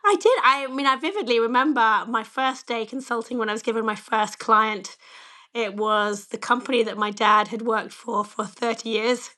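Woman in her forties, guest; slightly croaky, fairly high voice, candid and self-deprecating, over a video call. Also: normal speed, sharp tone. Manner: candid, self-deprecating, many "you know" fillers. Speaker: bank chief executive, interview guest. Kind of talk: conversational interview answers.

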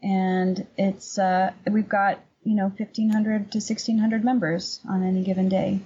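A woman with a husky voice giving casual, conversational answers as an interview guest. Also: mid-range voice, flat delivery, unhurried, warm tone, highs cut off. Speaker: podcast guest, painter and workshop teacher.

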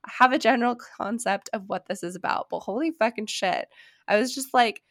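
A woman's voice: fairly high pitch, brisk, no room sound, clean studio sound. A woman in her late twenties, sick and congested with a nasal voice, chatting candidly as a podcast co-host, laughing and swearing freely. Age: 20s. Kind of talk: casual chat.

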